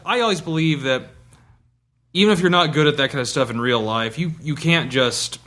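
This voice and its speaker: Guy chatting about gaming, bright voice, kinda excitable, keeps restarting sentences. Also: fairly high pitch, moderate pace. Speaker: podcast guest, tabletop player. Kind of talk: casual chat.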